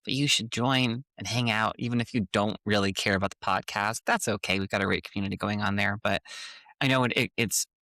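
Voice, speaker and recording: Low gravelly co-host with a Minnesotan accent. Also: brisk, pushed and bright, dry recording. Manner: earnest, encouraging, hesitant fillers. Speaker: podcast co-host. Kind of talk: casual podcast chat.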